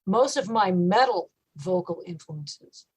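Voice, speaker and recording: Woman, metal vocalist, low voice, relaxed interview talk, lots of "you know" and restarts. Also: takes it slowly, husky. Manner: relaxed, thoughtful, with frequent fillers and restarts. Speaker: metal singer and guest. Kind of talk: casual interview chat.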